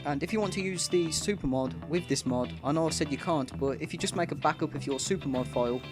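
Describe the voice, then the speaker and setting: A man's voice: a little high, fast, over music. A man hosting a gaming video with casual, explanatory commentary.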